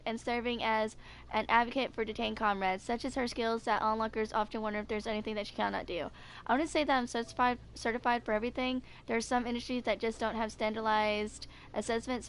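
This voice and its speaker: A woman's voice: a little high, a bit bright, a little hiss or hum. A woman in her twenties reading game character stories aloud with casual commentary in an amateur recording. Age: 20s. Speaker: gaming video maker.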